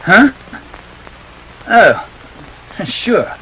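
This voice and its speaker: A man's voice: sits high, sing-song, drawn-out words, pushed and bright, slight background noise, exaggerated voice, low-quality recording. A man acting a wisecracking, cool private detective in a 1940s radio play, clipped and wry. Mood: wry, unruffled.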